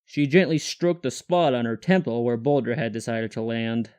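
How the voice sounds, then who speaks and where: A man's voice: mid-range voice, moderate pace, darkish tone. A man reading scripted story narration as the narrator.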